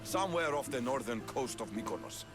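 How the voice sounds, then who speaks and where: A man's voice: mid-range voice, lively intonation, fairly quick, over loud music, close to an everyday voice. A man playing a blunt, proud Spartan commander. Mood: commanding. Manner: blunt and commanding, with fierce swings. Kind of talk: video game cutscene acting. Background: orchestral score.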